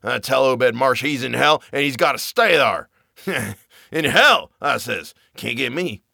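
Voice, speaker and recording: A man's voice: medium pitch, lively intonation, measured pace, creaky, bright, forceful tone, husky, no room sound, clean studio sound, full character voice. A man voicing a wild, terrified old man who raves in a rustic old-timer dialect, in a horror story reading. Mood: raving. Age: older. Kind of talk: audiobook character reading.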